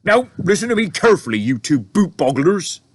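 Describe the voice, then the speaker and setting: A man voicing a huge, gentle male giant who talks in muddled words and broken grammar. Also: high voice, very animated, brisk, breathy edge, no room sound, pitched above the performer's own voice, exaggerated voice.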